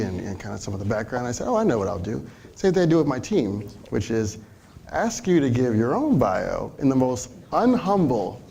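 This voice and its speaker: A man's voice: mid-range voice, creaky, darkish tone, slight background noise. A man moderating an onstage fireside chat, chatty and rambling with restarts, before a live audience. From Philadelphia area. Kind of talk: casual onstage interviewing.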